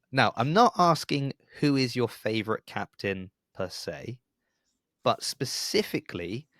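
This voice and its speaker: A man's voice: medium pitch, fairly bright tone, no echo, studio quality. A middle-aged man hosting a podcast in a casual, chatty interview style. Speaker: podcast host.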